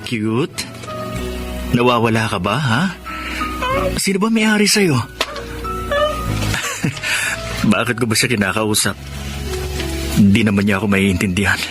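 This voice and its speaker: An actor playing a humble, kind-hearted young former convict in a Filipino radio drama, earnest and polite, with a Filipino accent. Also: male voice, fairly low pitch, expressive, moderate pace, long pauses, natural voice. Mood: curious, gentle. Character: remorseful, kind-hearted young ex-convict seeking a new life. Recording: music bed behind the voice.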